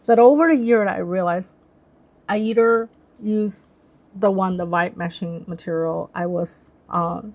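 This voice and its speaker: A woman's voice: lowish voice, unhurried, a little mellow. A young woman whose first language is Chinese, hosting a casual live stream. She thinks aloud in English, often restarting sentences as she searches for words. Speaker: livestream host.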